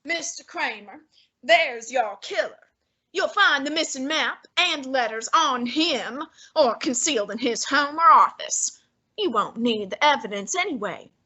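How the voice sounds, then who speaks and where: A grandly self-assured detective lays out his reasoning in crisp, measured phrases, voiced by a woman. The voice sits high and bright with a breathy edge. It is an old-time radio mystery done by student actors. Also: expressive, full character voice. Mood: deducing. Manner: precise, lofty, measured diction. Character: portly, pompous male genius detective, unflappable.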